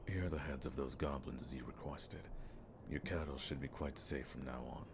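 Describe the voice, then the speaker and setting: Man playing a very deep gravelly monster hunter. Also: fairly even intonation, noisy background. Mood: courteous, pleased. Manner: measured, wry, old-fashioned turns of phrase. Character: seasoned male monster hunter, world-weary, dryly friendly. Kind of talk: fantasy audio roleplay acting to the listener.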